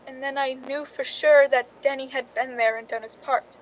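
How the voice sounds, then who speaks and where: A woman's voice: sits high, fairly even intonation, moderate pace, close to an everyday voice, low-quality recording. A woman playing a jealous, scheming stand-in who tells her murder plot in a suspenseful radio drama. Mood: tense, urgent. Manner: suspenseful, confessional storytelling. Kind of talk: radio drama narration and dialogue.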